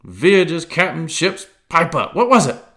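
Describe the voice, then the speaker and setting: A man's voice: mid-range voice, big pitch swings, unhurried, fairly bright tone, voice pushed up. A man reading aloud and voicing a cunning, one-legged pirate cook who blusters in salty sea talk. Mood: blustering.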